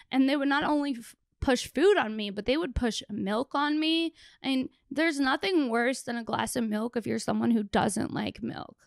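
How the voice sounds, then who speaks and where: A young woman with a hoarse, tired, gravelly voice, riffing casually and bluntly as a solo comedy podcast host, close on a handheld microphone. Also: high voice, a bit bright, no echo. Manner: blunt, sweary, joking. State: hoarse, worn-out voice after a festival; exhausted. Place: small plain room indoors. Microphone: handheld microphone held close.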